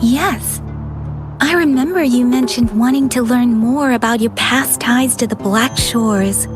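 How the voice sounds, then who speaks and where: A woman voicing a gentle, earnest young ranger in a video game, sincere and dutiful. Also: a little high, measured pace, over music, natural voice. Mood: calm, considerate. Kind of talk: video game character dialogue.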